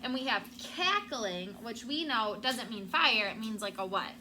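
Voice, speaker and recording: Woman, schoolteacher, fairly high voice, interactive storybook read-aloud, questioning, clear background noise. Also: animated, unhurried, bright, forceful tone. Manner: prompting, checks understanding with questions. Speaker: elementary school teacher. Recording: clearly noisy room sound.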